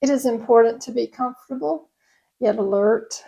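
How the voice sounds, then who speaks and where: A woman yoga nidra instructor leading a guided meditation in a gentle, soothing, unhurried way. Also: on the high side, vocal fry, breathy edge, rough recording.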